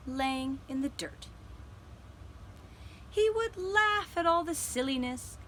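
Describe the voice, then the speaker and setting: A woman telling a gentle children's story for a puppet show, with deliberate, dramatic phrasing and pauses. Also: sits high, lively intonation, a little hiss or hum.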